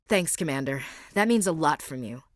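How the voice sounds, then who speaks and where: Tough young female marine, game character, clipped and direct, low voice, dry sound. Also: expressive, moderate pace, no echo. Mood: steady. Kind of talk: video game voice acting.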